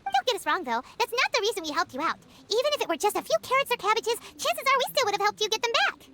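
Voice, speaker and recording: A woman voicing a tiny, childlike fairy girl companion in a video game, squeaky, bold and outspoken. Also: big pitch swings, fast, heavy background noise. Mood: chatty. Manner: exclamatory, refers to self in third person. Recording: music behind the voice.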